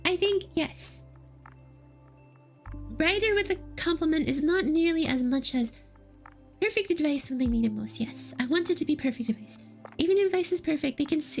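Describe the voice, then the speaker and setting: A woman's voice: high-pitched, expressive, a little fast, faint music behind. A woman streaming as a VTuber, chatting casually and answering would-you-rather questions. She talks in a playful, giggly way and teases her chat.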